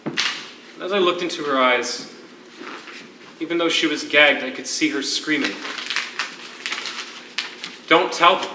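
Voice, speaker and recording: Anguished jailed poet played by a man, theatrical, deliberate and broken by grief. Distant mic, noisy recording. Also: on the high side. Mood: haunted grief. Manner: deliberate, drawn-out phrasing with dramatic pauses. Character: anguished imprisoned poet, a grieving, defeated widower. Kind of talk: theatrical stage acting, dramatic monologue. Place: theatre stage, miked from a distance. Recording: echoey, noisy stage recording from far off.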